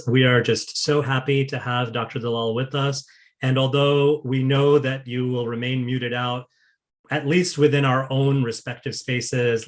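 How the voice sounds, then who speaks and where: A man hosting an online event, giving housekeeping notes and introducing the speaker in a friendly way, with many fillers. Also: medium pitch. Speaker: event host. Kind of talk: introducing a speaker on a video call. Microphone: video call.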